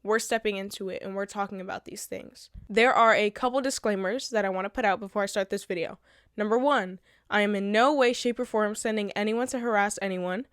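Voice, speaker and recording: Congested woman host, earnest and blunt, smooth clear tone in a dry close sound. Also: mid-range voice, a little fast, fairly bright tone, no room sound. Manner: earnest, blunt, reads from notes. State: congested from recent sickness. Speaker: channel host. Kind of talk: casual commentary video.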